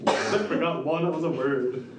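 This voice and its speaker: A man's voice: fairly high pitch, normal speed, over a noisy crowd. A man playing a tabletop game, chatting in casual, joking banter. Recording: distant, echoey, with loud music.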